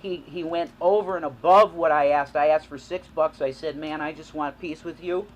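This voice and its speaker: A man's voice: on the high side, steady tone, moderate pace, muffled recording. A man rambling and repeating himself in a clip recorded in a hotel room. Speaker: streamer in a played clip. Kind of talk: rambling video address.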